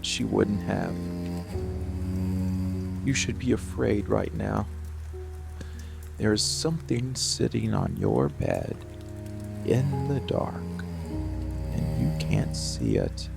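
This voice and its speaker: Man voicing a gravelly, very slow seductive monster. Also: on the low side, heavily acted. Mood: dark, soothing menace. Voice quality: gravelly, creaky. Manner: slow, deliberate, brooding, with long pauses. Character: dark, seductive, protective male monster hiding in the bedroom. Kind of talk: slow, intimate roleplay ASMR. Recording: rain and music behind the voice. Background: steady rain and music.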